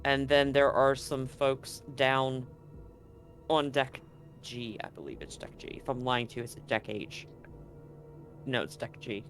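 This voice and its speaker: A man's voice: medium pitch, unhurried, pauses often, fairly bright tone, faint music behind. A man narrating a tabletop game as game master in an informal, joking way.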